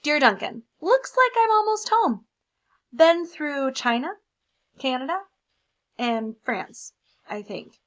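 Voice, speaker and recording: A woman's voice: sits high, sing-song, takes it slowly, pauses often, fairly bright tone, exaggerated voice. A woman voicing a dramatic, clueless crayon in an exclamatory, emphatic storybook read-aloud. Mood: cheerfully clueless. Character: dramatic, clueless, left-behind neon red crayon. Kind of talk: expressive picture-book read-aloud.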